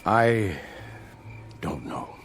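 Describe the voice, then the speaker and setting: An actor playing a concerned, plain-spoken male family doctor, measured and folksy, in a radio drama. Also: lowish voice, animated, over music. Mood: grave, concerned.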